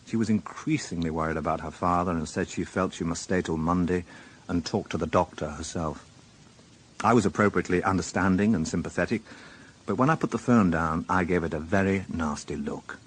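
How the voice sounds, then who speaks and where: A man's voice: low-pitched, a little fast, slightly rough, rough recording. An actor playing a weary, dry-witted male police inspector in a radio drama, wry and understated. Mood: wry narration. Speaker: narrator and lead actor. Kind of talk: radio drama acting and first-person narration.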